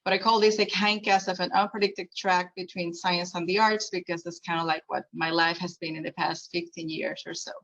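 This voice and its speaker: Low-voiced woman from Puerto Rico, lo-fi. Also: fairly even intonation, brisk, hardly any pauses, a bit bright. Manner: earnest, personal storytelling with fillers. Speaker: keynote speaker. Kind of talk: conference keynote talk. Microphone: video call.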